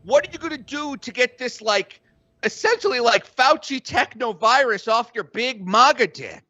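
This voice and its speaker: A man running an improvised comic tabletop game, excitable and crude, on slightly dull-sounding audio. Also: very high voice, moderate pace, dry recording. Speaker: game master.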